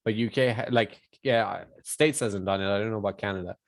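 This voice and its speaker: A man's voice: on the low side, brisk, no room sound. A man chatting casually as a podcast guest, joking and often correcting himself as he goes. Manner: hesitant, self-correcting, joking, often says "like". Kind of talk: casual chat.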